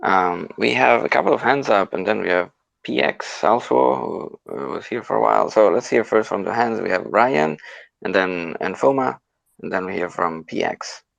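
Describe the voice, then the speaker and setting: A man's voice: on the low side, animated, normal speed, gravelly, fairly bright tone, no echo, lo-fi sound. A man co-hosting a casual live audio chat and moderating speakers in an easygoing, somewhat hesitant way.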